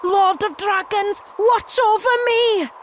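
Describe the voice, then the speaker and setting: A woman's voice: very high-pitched, fairly even intonation, takes it slowly, slight background noise. An actress playing a meek, devout young woman on trial in a video game, faltering and pleading. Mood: desperate resolve. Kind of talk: dramatic video game acting.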